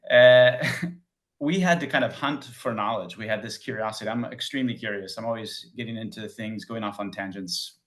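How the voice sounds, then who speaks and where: A man's voice: lowish voice, moderate pace, fairly bright tone. An American man in his forties or fifties asking a long question from the audience, with many ums and uhs. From United States. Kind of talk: question from the floor.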